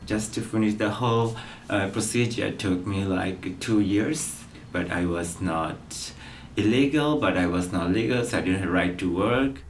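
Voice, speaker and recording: Deep-voiced man. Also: a little slow, recorded from a distance, slight background noise. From Bangladesh. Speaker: interviewee. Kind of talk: documentary interview.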